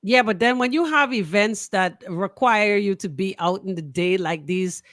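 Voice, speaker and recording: A woman's voice: mid-range voice, normal speed, fairly bright tone, dry recording, studio quality. A woman with a Bahamian accent hosting casual, opinionated radio banter in an emphatic, colloquial way, often throwing out rhetorical questions. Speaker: radio talk show host. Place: radio studio.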